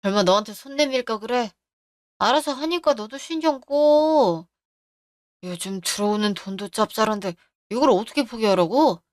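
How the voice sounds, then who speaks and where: A woman's voice: on the high side, animated, normal speed, dry recording, clean studio sound, close to an everyday voice. A woman voicing a brash, selfish husband who brushes off his wife's worries. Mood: dismissive. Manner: dismissive, snapping.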